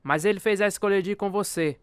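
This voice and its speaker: An actor playing a firm, devout prisoner who speaks in a direct, exhorting manner. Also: male voice, sits high, quick pace, clean tone, no room sound, lightly acted. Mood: firm, earnest. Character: firm, devout male prisoner urging faith.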